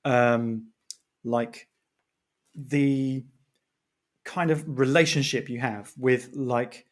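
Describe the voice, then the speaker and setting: Guy hosting a podcast, normal pitched voice, chatty and rambly, casual long questions. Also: steady tone, very slow. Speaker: podcast host, writer. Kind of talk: casual interview conversation.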